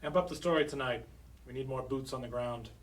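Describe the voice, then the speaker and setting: An actor voices a scheming male college dean in an audio drama. He talks fast and commands in clipped phrases, set back from the mic with faint noise. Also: mid-range voice, distant mic, natural voice. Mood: scheming, commanding.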